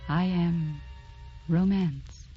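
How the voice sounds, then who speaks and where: An actress playing the spirit of romance, narrating an old radio drama in a lyrical, intimate, dramatic manner. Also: female voice, lowish voice, fairly even intonation, drawn-out words. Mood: grand, dreamy. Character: Romance personified, dreamy, tender female storyteller. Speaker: narrator and host. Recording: dull vintage radio recording with music.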